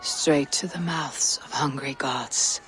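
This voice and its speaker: A woman's voice: very deep voice, slow, fairly bright tone, slight background noise, heavily acted, low-quality recording. A woman playing a stoic, wry Viking warrior in a video game, with terse, dry, grave delivery. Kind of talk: dramatic video game acting.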